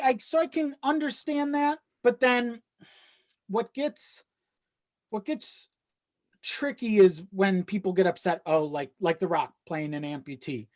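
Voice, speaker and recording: A man speaking as a podcast guest in casual, reflective chat, thinking aloud as he goes. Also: sits high. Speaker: podcast guest, audio drama creator.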